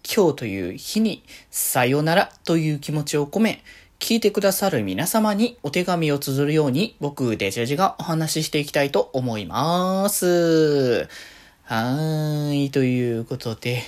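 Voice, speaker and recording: Low-voiced woman host, lively intonation. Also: measured pace. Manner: often giggles while talking. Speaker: solo talk show host. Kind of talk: casual rambling solo chat to listeners.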